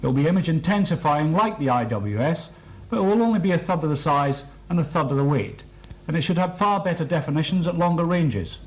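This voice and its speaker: A British man with a somewhat high-set voice presents a military training film. His delivery is a formal scripted briefing, precise and matter-of-fact throughout. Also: lively intonation, fairly quick.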